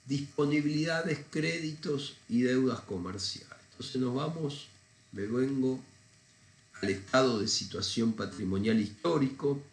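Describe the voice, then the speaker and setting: A man's voice: medium pitch, a little hiss or hum. A man with a congested voice from a cold, teaching an accounting lesson step by step in an informal, didactic way. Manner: didactic, informal, thinking aloud. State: congested with a cold, often clearing throat. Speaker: accounting lecturer. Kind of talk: recorded lesson, explaining step by step. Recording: echoey room sound.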